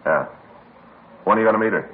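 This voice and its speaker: A man plays a police officer who speaks in brief, easygoing replies. His voice is low. It comes through a narrow, tinny old radio sound with a faint hiss. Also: fast talker, close to an everyday voice. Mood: laconic. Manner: laconic, short replies. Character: laconic male police officer partner. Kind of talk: 1950s radio drama dialogue.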